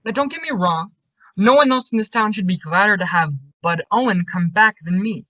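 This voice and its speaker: A man's voice: high voice, normal speed, bright, forceful tone, dry recording. An actor playing a suspicious, confrontational young man, insistent and accusing, in an old-time radio suspense drama. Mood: stern warning. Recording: dull, lo-fi audio.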